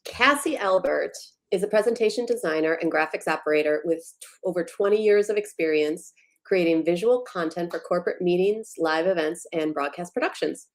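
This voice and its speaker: A woman guest co-host reading out a bio in a measured way. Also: lowish voice. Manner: measured, reads an introduction.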